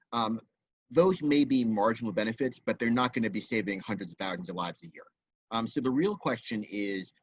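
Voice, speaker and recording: A man speaking as a guest expert in a roundtable discussion, measured and data-minded, with frequent ums. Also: fairly low pitch, breathy edge, no echo. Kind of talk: explanatory discussion. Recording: dull lo-fi audio.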